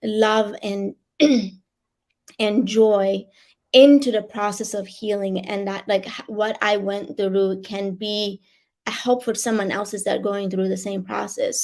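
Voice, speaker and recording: A raspy-voiced woman from Iran, sharing as a guest author over an online video call. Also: medium pitch, darkish tone. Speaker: guest author and life coach. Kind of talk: personal sharing and reading aloud.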